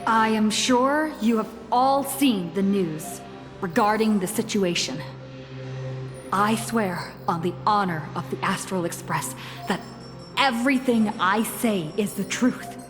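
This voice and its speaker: A woman's voice: medium pitch, slow, natural voice. Woman as formal, sincere young envoy; reverberant, music under. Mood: earnest. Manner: earnest, formal. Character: earnest young female envoy pleading before a council. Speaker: game character. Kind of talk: video game voice acting. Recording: long reverb over music.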